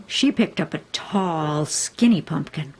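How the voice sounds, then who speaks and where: A woman reading a children's story aloud, expressively and deliberately, with dramatic emphasis. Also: deep voice, expressive, darkish tone. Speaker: storybook reader. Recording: faint background noise.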